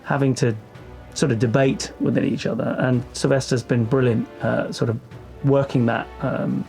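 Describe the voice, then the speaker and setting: A man's voice: medium pitch, level tone, moderate pace, vocal fry, a little mellow. Thoughtful man in interview, music behind. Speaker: cast member interviewed behind the scenes. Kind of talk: reflective interview talk.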